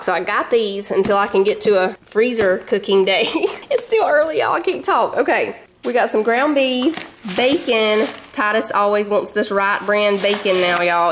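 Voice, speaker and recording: A woman's voice: mid-range voice, slight background noise. A woman in her thirties with a Southern American accent, chatting casually through a grocery haul in her home kitchen. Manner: chatty, folksy, addresses viewers as y'all. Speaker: vlogger.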